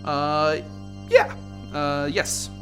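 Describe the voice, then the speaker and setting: A woman's voice: low voice, huge pitch swings, drawn-out words, soft background music, exaggerated voice. A woman playing a testy, defensive lead scientist in an amateur radio play. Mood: defensive, irritated.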